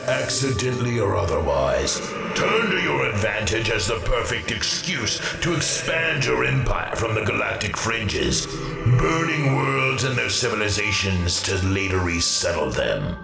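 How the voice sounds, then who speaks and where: An actor playing a haughty, skeptical alien authority in an audio drama, cold, measured and condescending. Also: male voice, on the low side, big pitch swings, vocal fry, heavily acted. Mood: cold disdain. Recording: roomy, slightly distant, music behind. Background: music.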